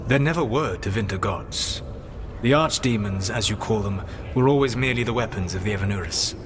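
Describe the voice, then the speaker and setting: Ancient elven male mage for a fantasy game, played by a man: lowish pitch, grave formal delivery, clearly noisy backdrop. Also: natural voice. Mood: solemn. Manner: measured, formal, solemn. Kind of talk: scripted fantasy game dialogue.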